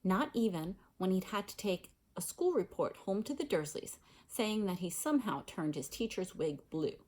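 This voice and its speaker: Female storybook reader, slightly rough-edged voice, animated intonation, expressive read-aloud narration. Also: mid-range voice, normal speed.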